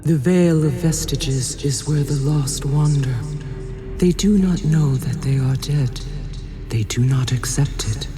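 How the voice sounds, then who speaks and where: A woman playing a solemn, cryptic temple spirit in a dark fantasy radio play, speaking portentously. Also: very deep voice, measured pace. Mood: solemn, foreboding. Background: music.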